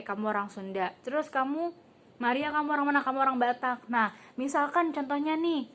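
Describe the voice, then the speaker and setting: A woman's voice: a little high, fairly bright tone. A young woman student teacher giving a casual classroom lesson, quizzing the class and calling on students by name, with casual filler words. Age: young adult. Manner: asks the class questions and calls on students by name.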